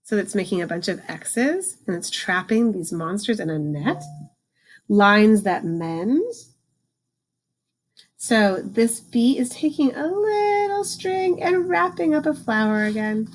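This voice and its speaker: A woman reading a picture book aloud to children, adding informal explanations and slowing down for the book's lines. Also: a little high, lively intonation, low-quality recording. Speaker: read-aloud host, teacher for children.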